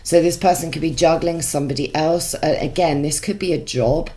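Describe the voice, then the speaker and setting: A woman with a congested voice, chatting through a tarot reading with many musing pauses and restarts. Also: sits low, warm tone. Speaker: tarot reader.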